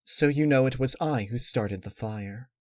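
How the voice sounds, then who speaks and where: Villainous young pony mare, male reader: smug, cruel, measured taunts, raised a little above his narration, close dry sound. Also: medium pitch, darkish tone, no echo, voice pushed up, lightly acted. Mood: smug taunting.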